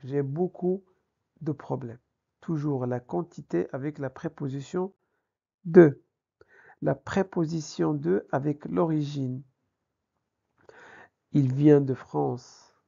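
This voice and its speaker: A man's voice: a little high, slow pace, creaky, long pauses, warm tone, husky. A man teaching a language lesson in a didactic way, posing questions and answering them himself, in a slightly dull recording. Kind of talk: grammar lesson with examples.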